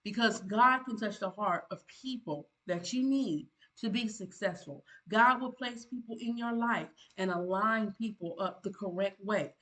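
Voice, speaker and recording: A woman, delivering motivational advice in an earnest, preachy monologue. Her voice sits at a middle pitch, and she is emphatic, circling back to restate her points with restarts. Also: measured pace, few pauses, a bit bright, poor audio. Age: 50s. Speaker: content creator giving channel advice.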